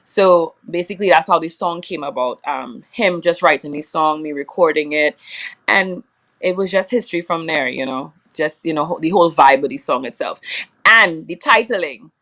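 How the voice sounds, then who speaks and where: A woman's voice: sits low, normal speed, bright, forceful tone. A young woman from Trinidad with a Trinidadian accent, chatting casually as an interview guest, rambling with lots of fillers. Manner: rambling, many fillers, laughs often. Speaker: soca singer, guest.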